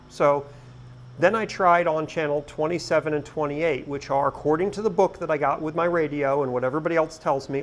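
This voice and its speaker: Higher-voiced man vlogging casually, noisy outdoor recording. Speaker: boating video host. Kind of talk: casual vlog talk to camera. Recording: noisy outdoor background sound.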